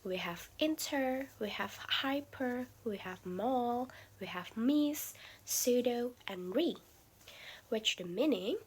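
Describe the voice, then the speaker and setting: Slow, lively-pitched woman presenting a lesson. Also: husky, rough recording. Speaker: student presenter. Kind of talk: class presentation.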